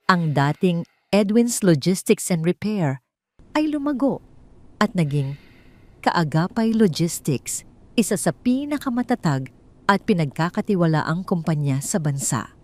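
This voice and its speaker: Expressive Filipino woman narrator, lower-pitched voice. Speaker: narrator of an online drama story. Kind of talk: scripted dramatic story narration.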